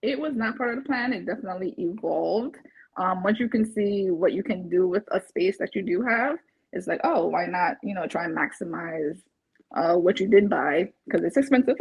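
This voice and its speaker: A woman from Barbados with a husky voice, talking casually and chattily, as in a testimonial interview. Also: on the low side, no room sound. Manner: chatty, laughs often while talking. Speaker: interviewed client, first-time home buyer. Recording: dull, muffled lo-fi audio.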